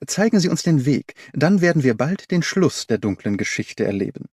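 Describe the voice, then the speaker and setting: A man's voice: on the high side, very animated, normal speed, a little mellow, breathy edge, no echo, studio quality. A man voicing a brilliant, confident detective in an audiobook, explaining in an assured, didactic way. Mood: brisk, commanding. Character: brilliant male detective, confident, analytical, commanding.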